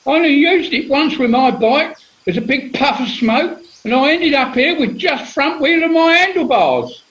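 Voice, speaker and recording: A man's voice: very high voice, expressive, moderate pace, a bit bright. A man playing a belligerent, indignant castaway full of complaints in a comic radio play, on a muffled recording. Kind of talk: British comedy radio play acting.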